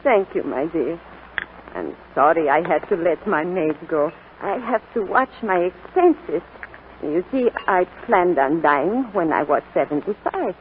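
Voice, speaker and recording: A woman playing a kindly, devout French grandmother of seventy-seven in a 1940s radio drama, speaking gently and reflectively. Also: lowish voice, lively intonation, unhurried. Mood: wry, fond. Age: older. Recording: noisy, tinny 1940s radio broadcast.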